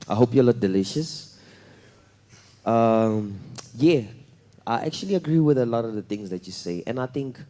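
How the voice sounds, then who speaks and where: A man's voice: sits low, mellow. A man, a musician on a masterclass panel, talking casually with playful slang. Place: recording studio.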